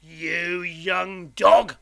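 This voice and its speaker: A man voicing a rough, threatening, ravenous escaped convict in a storybook, barking out menacing commands. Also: high-pitched, fairly even intonation, very slow, crowd noise, heavily acted.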